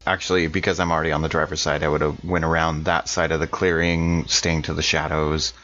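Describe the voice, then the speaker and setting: A man's voice: rumbling low, little pitch movement, fairly quick, a bit bright, highs cut off. A man playing a tabletop roleplaying game, talking casually as he describes what his character does. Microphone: microphone.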